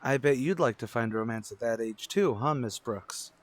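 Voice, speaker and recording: A man playing an eager teenage high school student in an old-time radio sitcom, florid, earnest and cheeky. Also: normal speed, dry recording, close to an everyday voice.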